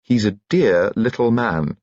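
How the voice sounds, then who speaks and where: Spry older posh mum, bossy and teasing, a guy narrating puts her on, husky voice. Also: fairly low pitch, measured pace, a little mellow, no room sound, lo-fi sound, voice pushed up. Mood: insistent. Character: older aristocratic mother, brisk and teasing.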